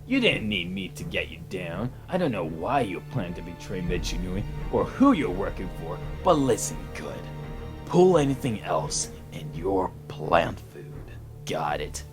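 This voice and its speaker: A man's voice: low-pitched, very animated, unhurried. A man as a stern hero with swooping delivery. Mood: stern, accusing. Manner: blunt, accusing. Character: stern, watchful male hero, blunt and threatening. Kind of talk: dramatic motion comic voice acting. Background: music.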